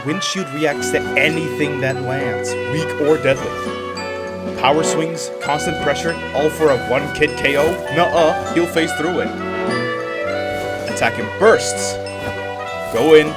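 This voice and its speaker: A man acting cocky swordsman mentor over loud music. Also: on the high side, measured pace, lightly acted. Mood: emphatic coaching. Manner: casual, cocky, slangy. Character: brash, confident swordsman mentor coaching a young squire. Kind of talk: fan dub character acting.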